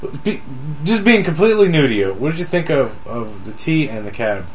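A man's voice: fairly high pitch, big pitch swings, a little fast, slight background noise, poor audio. A man co-hosting a podcast in casual, conversational group chat. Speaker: podcast co-host.

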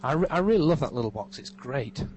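A man's voice: medium pitch, expressive, fast talker, darkish tone, a little hiss or hum, poor audio. An English man in casual conversation as a guest, rambling and self-mocking, full of restarts. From England. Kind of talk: casual interview chat. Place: living in California, recorded remotely.

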